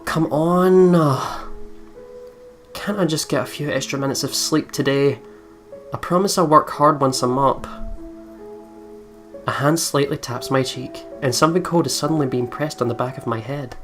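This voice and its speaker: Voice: mid-range voice, normal speed, pauses often, fairly bright tone, faint music behind. A gamer doing casual let's play commentary, swearing and stumbling while reading visual novel narration aloud.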